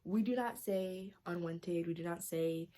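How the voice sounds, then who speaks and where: A woman's voice: fairly low pitch, measured pace, mellow. A young woman from North Carolina with a Southern accent, talking casually to her camera as a vlogger, full of "like" and "you guys". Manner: chatty, many "like"s and "you guys". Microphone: camera mic.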